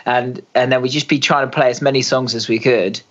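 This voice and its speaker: A man in his thirties hosts a relaxed podcast chat, talking in an easy, rambling way and laughing often. His voice sits at a middle pitch for a man. The recording sounds slightly dull and lo-fi. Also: fairly quick. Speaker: podcast host, rock singer. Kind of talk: casual interview. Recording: muffled lo-fi audio.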